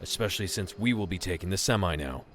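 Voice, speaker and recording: An actor playing a smug, bossy gang leader in an audio drama, domineering and condescending. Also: male voice, low-pitched, lively intonation, fast, fairly bright tone, slight background noise. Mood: smug, commanding. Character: gang leader grunt, menacing, smug, bossy adult man.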